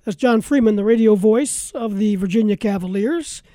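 A man's voice: sits high, moderate pace, warm tone, no echo, clean studio sound. A man hosting a live sports talk radio show, interviewing guests and leading into breaks.

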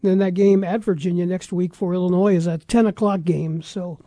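A man's voice: high-pitched, flat delivery, a little fast, dark tone, dry recording, clean studio sound. A man with an American accent hosting casual sports talk, running through scores in a conversational, matter-of-fact way. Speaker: sports radio talk show host.

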